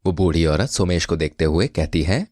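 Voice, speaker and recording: A man narrating a scripted comic moral tale as an expressive storyteller. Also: low-pitched, moderate pace, no room sound, studio quality.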